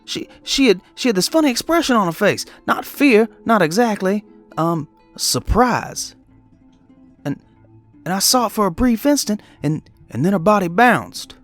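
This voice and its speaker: Guilt-ridden male trucker played by a man, horror audio story: stammering, pleading, highly animated pitch, music underneath. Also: moderate pace. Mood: anguished, shaken. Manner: stammering, repeating words, pleading. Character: distraught guilt-ridden male trucker confessing a fatal accident. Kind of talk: dramatic horror story monologue.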